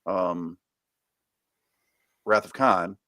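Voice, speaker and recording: A man's voice: sits low, drawn-out words, sharp tone, no room sound, studio quality. A man co-hosting a casual podcast, chatting about plot details in a quizzical, jokey way. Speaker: podcast host. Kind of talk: casual chat.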